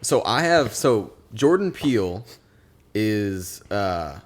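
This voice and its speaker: Young guy rambling on a podcast, kinda low voice, laughs a lot. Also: big pitch swings, slow. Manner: chatty, rambling, laughs often. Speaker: podcast co-host. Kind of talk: casual chat. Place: podcast studio.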